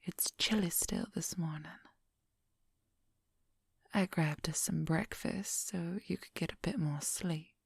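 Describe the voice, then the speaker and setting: A woman voicing an affectionate, teasing werewolf lover in a soft, intimate ASMR whisper, speaking gently and playfully into a close microphone. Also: low-pitched, gravelly, breathy edge, no echo, full character voice. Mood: relaxed, caring. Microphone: close microphone, dry.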